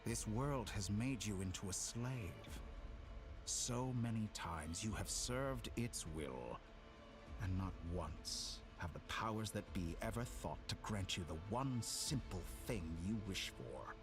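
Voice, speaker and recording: A godlike video game villain with a low, highly expressive voice. He is silky and smug, deliberate and mock-polite, talking down to his listener over clear background music. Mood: sinister, coaxing. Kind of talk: scripted video game dialogue.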